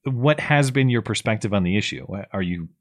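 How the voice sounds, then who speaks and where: A man hosting a call-in talk show, rambling and enthusiastic as he thinks out loud with his caller. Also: fairly low pitch, expressive, fairly quick. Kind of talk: casual call-in chat.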